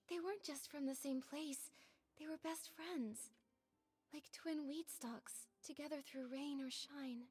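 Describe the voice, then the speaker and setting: An actress playing a gentle, sympathetic young woman in a video game, speaking earnestly. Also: sits high, long reverb tail. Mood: sorrowful. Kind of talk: video game character dialogue.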